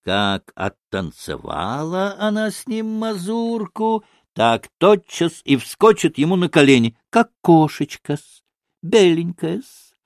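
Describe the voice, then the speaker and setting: A man voicing an obsequious, giggly old provincial gentleman in a storybook, telling tales in drawn-out, servile phrases. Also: sits high, very animated, no room sound, studio quality, pitched above the performer's own voice, full character voice. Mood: sly, giggly.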